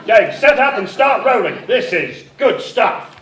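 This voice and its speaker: An actor playing an impatient, sarcastic adventurer film director who barks brisk orders in a live comic radio parody. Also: male voice, sits high, sing-song. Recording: roomy, distant, noisy live theatre recording.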